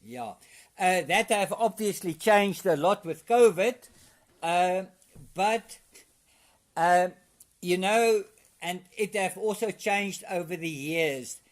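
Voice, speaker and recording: A middle-aged South African man with an Afrikaans accent, a guest in a casual interview, talking haltingly with many fillers and restarts. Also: high-pitched.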